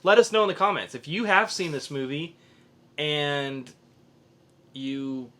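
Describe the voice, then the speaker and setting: Bright-voiced man hosting a geek podcast. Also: a little high, expressive, a little slow. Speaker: podcast host. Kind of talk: casual comics and film review chat.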